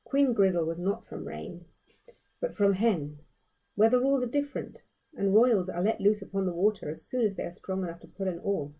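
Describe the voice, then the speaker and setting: A woman narrates a story for children in a soft-edged, relaxed voice with some huskiness. Her delivery is measured and expressive, with emphatic phrasing and a touch of fry. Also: mid-range voice, poor audio. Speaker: author reading own story.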